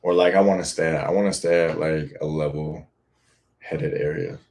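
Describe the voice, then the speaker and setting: A man's voice: extremely low pitch, steady tone, moderate pace, vocal fry, darkish tone, slight background noise. A young man hosting a live Q&A, chatting casually and frankly, reading viewer comments and swearing now and then. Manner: laid-back, rambling, frank with casual swearing. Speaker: boyfriend-audio creator hosting a live Q&A.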